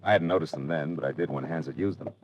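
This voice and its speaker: An American actor playing a hardboiled, world-weary private detective in 1940s radio drama style, clipped and colloquial. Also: male voice, extremely low pitch, fast talker, noisy background. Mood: wry, grim. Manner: clipped, colloquial tough-guy delivery. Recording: dull old radio recording, music behind.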